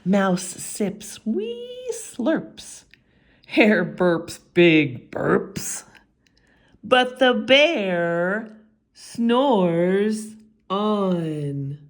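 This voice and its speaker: A woman's voice: medium pitch, sing-song, extremely slow. A woman reading a picture book aloud for children in a theatrical way, with whispered asides and stretched-out refrains. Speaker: children's story time reader.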